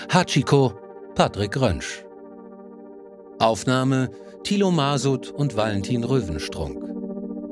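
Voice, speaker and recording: Lebhafter Ansager mit Musikbett. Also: male voice, medium pitch. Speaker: announcer. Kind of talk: scripted podcast credits announcement. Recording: clear music bed behind voice.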